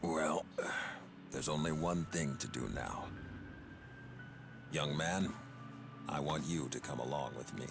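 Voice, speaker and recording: Very deep, gravelly commanding elder. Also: male voice, slow pace, pauses often, heavily acted. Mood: resolute. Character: commanding older male authority figure, grave and resolute. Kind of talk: video game cutscene voice acting. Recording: loud background music.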